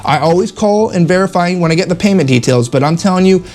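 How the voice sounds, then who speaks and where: A man's voice: high voice, lively intonation, fast, darkish tone. A man giving casual, instructional sales tips in a conversational, emphatic way, repeating phrases to drive them home. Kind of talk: casual instructional vlog monologue.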